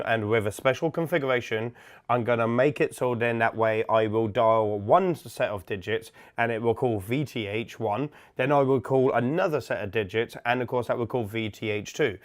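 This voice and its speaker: Man giving a methodical technical walkthrough. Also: mid-range voice. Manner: methodical, explanatory, informal. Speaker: instructor, product demonstrator. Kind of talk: software setup tutorial, step-by-step walkthrough.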